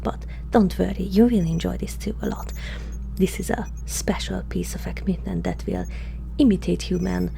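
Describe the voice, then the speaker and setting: A woman playing a curious, flirtatious alien experimenter in an intimate ASMR roleplay, speaking haltingly in a soft, breathy murmur that often slips into whispers. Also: lowish voice, slight background noise. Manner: hesitant, halting, softly spoken, often slipping into whispers.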